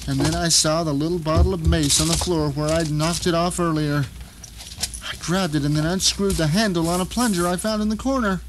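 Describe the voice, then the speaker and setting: A man performing a bitter, vindictive captive who narrates his own ordeal in a melodramatic, sardonic audio drama monologue with deliberate phrasing. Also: a little high, background noise, close to an everyday voice. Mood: vengeful.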